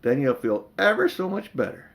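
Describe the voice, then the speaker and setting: A man voicing a kindly working-class London cab driver in a storybook, folksy and coaxing, with dropped sounds and rough slang. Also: high-pitched, huge pitch swings, normal speed, pitched above the performer's own voice, exaggerated voice.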